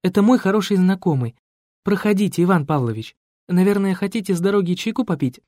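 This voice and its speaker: A man's voice: high voice, animated, fast talker, darkish tone, dry recording, clean studio sound, pitched above the performer's own voice. A man reading a composed, firm young woman, a priest's daughter, telling her story. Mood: commanding. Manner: composed, commanding.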